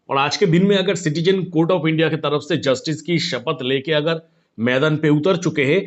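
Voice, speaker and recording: A man with a fairly high voice delivers online opinion commentary. He is insistent, circling back to his points and pressing them with rhetorical questions. The recording is somewhat dull and lo-fi. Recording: dull, lo-fi sound.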